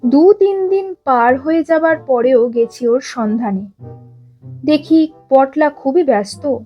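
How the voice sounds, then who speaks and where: A woman reading a scripted story as narrator, with dramatic, expressive delivery. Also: sits high, slow, muffled recording, soft background music. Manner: expressive, acts out characters' dialogue.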